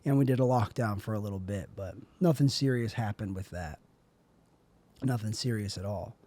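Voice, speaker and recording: A young man with a raspy, airy voice, rambling through a solo podcast monologue as host. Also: on the low side, normal speed, mellow. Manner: rambling, self-questioning, trailing off.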